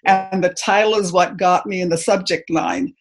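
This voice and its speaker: A middle-aged woman from Canada with no accent, giving a personal testimony in church, hesitant and full of pauses and ums. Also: lowish voice, steady tone, faint music behind. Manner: hesitant, halting, many ums.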